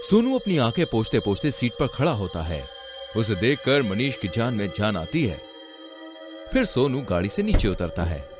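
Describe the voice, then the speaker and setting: A man's voice: lowish voice, very animated, moderate pace, over music. A man narrating a scripted horror-comedy story in a dramatic storytelling style.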